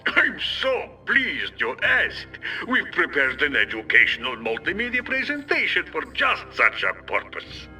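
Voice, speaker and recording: An actor playing a gloating Soviet premier in a video game, with a Russian accent and a grandiose, mock-polite manner, heard over a radio. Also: male voice, a little high, huge pitch swings, measured pace, sharp tone, faint music behind, heavily acted, poor audio. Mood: smug, gleeful. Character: gloating Soviet villain premier, theatrical and mocking. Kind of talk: theatrical video game villain acting.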